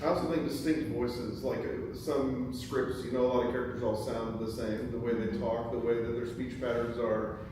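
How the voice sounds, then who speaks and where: A man's voice: mid-range voice, fairly even intonation, moderate pace. A man talking casually as a panelist in a group discussion. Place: echoey indoor room at a table read event. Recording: very echoey room, distant mic, background noise.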